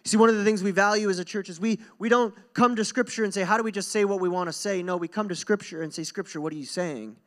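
A man's voice: high voice, quick pace, hardly any pauses, a bit bright. A young man preaching as a pastor in an informal, earnest, conversational way, repeating phrases for emphasis, speaking into a handheld microphone on stage. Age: young adult. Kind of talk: conversational sermon to a congregation. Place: stage in a church hall.

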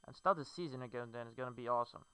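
Clear, bright-voiced Brazilian man. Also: medium pitch, racing, dry recording. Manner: hesitant, fillers, non-native phrasing. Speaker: gamer. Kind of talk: game commentary.

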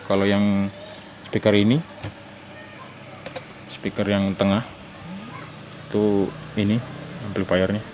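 Low-voiced man, noisy recording. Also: a little mellow. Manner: halting, informal, pauses mid-phrase. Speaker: host of a DIY audio channel. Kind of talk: casual hands-on demonstration.

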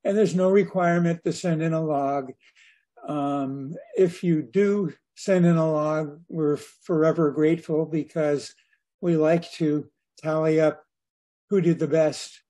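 A man in his seventies giving an informal slide talk to a club over a video call, speaking in a hesitant, measured way with pauses. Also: a little high, fairly even intonation, mellow. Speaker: guest speaker at a radio club.

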